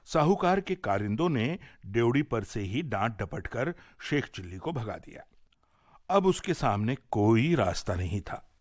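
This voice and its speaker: A man reads a folk tale as a radio storyteller. His mid-pitched voice moves up and down in a lively way, with measured, clear phrasing. The sound is dry, with very little room in it. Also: no echo. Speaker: radio storyteller and series presenter. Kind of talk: expressive Hindi folk tale narration.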